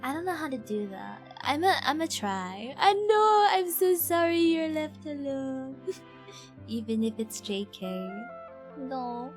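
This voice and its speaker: A woman streamer talks casually over her game. Her voice is somewhat high, with a playful, expressive delivery. Faint game music runs behind her. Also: slow pace. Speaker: live streamer.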